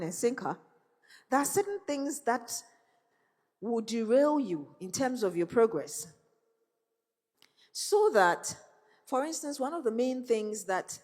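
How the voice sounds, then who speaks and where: Woman, guest speaker, storytelling motivational talk, mid voice, live hall with long reverb. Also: medium pitch. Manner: warm storytelling with laughs and faith references. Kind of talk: motivational talk to young people.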